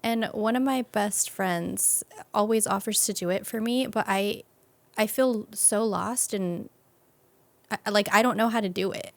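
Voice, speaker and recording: A woman's voice: mid-range voice, unhurried, gravelly, dry recording. A woman chatting casually on a podcast in an informal, colloquial, easygoing way. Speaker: podcast guest being introduced as new series host. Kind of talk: casual chat.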